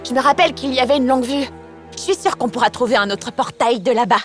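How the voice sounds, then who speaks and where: A woman voicing a lively, competitive young girl who takes charge in a children's cartoon, animated and exclamatory. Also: a little high, quick pace, over music, close to an everyday voice. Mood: bossy, concerned.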